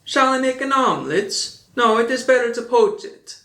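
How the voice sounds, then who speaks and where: A man voicing a hungry, fretful wooden puppet boy in a storybook reading, high and whining. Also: animated, moderate pace, pushed and bright, exaggerated voice. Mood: eager. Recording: echoey room.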